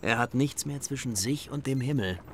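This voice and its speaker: An actor playing a sociable middle-aged man, chatting casually in a discreet, hushed way in a radio drama. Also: medium pitch, normal speed, slight background noise, lightly acted. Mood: discreetly chatty.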